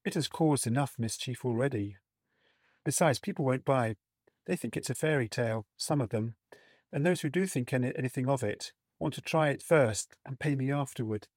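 A man voicing a grave, gruff middle-aged sergeant major in a storybook reading, slow and solemn in manner. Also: medium pitch, darkish tone, no echo, pitched above the performer's own voice, natural voice. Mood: brooding, reluctant. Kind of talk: dramatised storybook character reading.

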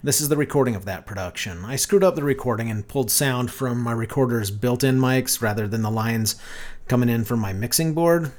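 A man's voice: medium pitch, brisk, darkish tone. A man hosting a podcast, giving a spoken introduction as the director of the show.

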